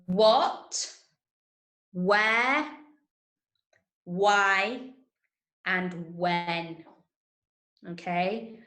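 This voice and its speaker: A woman's voice: medium pitch, a bit bright. A woman with an English accent teaching an interactive online lesson for children, emphatic and often checking that listeners follow. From Manchester, England. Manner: emphatic, checks understanding with frequent tag questions. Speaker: drama workshop teacher. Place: indoor room, online video call. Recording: echoey room on a video call.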